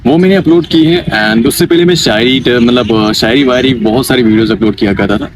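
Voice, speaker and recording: A young man from Kishtwar explains himself earnestly in an interview, circling back over his points. His fairly high voice moves up and down a lot, over loud outdoor traffic noise. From Kishtwar, Jammu and Kashmir, India. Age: young adult. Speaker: interviewee, amateur dubbing and mimicry artist. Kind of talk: informal interview answers. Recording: noisy outdoor recording, distant mic, traffic.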